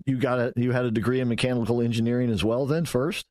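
A man hosting a talk show, questioning a guest in a relaxed, conversational way and sometimes stumbling over his words. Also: medium pitch, fast. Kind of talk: radio talk show interview.

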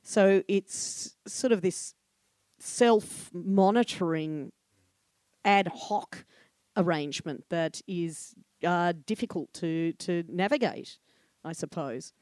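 Older Australian woman, low voice. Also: slow pace, darkish tone, no room sound, clean studio sound. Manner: chatty and candid, many fillers and restarts, laughs often. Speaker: comedy room programmer, guest. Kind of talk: live podcast conversation.

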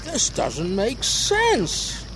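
An actress playing a no-nonsense police officer in an audio drama, brusque and commanding. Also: female voice, fairly high pitch, huge pitch swings, drawn-out words, background noise, full character voice. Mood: bewildered. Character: no-nonsense, bewildered police officer on park patrol.

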